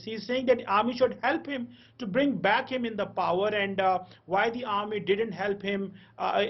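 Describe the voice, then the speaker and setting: A male commentator with a Pakistani English accent explains his view in a high voice. He speaks haltingly and circles back over his points, and the audio is slightly dull. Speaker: political commentator, guest. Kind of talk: live interview analysis.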